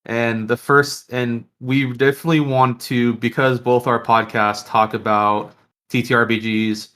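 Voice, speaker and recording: A man's voice: mid-range voice, flat delivery, unhurried, a bit bright. A man co-hosting a podcast in casual, rambling, jokey conversation. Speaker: podcast co-host.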